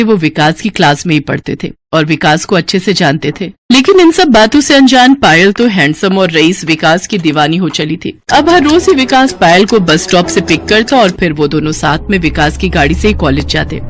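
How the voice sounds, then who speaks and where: A woman's voice: lowish voice, slight background noise. A woman narrating a scripted Hindi cartoon moral story in a dramatic storytelling style.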